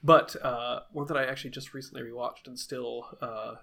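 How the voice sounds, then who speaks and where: A man's voice: medium pitch, fairly even intonation, moderate pace, creaky, bright, forceful tone. A man co-hosting a podcast, talking casually and hesitantly with many restarts, a little sniffly from a cold. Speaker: podcast co-host.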